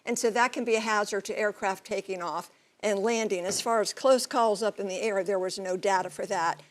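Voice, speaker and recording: A woman reporting as a TV news correspondent, explaining things in measured, clear phrases. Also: medium pitch. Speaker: TV transportation correspondent and pilot.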